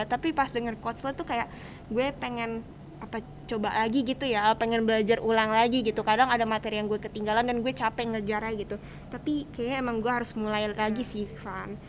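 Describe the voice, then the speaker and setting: High-voiced teenage Jakarta woman hosting casually. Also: fairly even intonation, fast, low-quality recording. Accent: Jakarta Indonesian. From Indonesia. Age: teens, high school student. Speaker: student podcast host. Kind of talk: casual chat, interviewing. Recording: faint background ambient noise.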